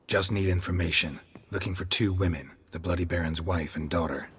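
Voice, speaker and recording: An actor playing a gruff, laconic monster hunter in a video game, calm and probing, with a raspy voice and terse questions. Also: male voice, fairly quick, full character voice. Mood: probing, stern. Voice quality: very low, gravelly rasp. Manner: terse, flat questioning in clipped sentences.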